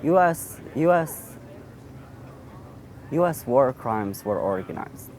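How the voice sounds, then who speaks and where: A man in his thirties from Iraq, an Assyrian speaker, telling his own story in an interview in a halting way, with frequent restarts. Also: mid-range voice, lively intonation, a little mellow. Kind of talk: personal storytelling.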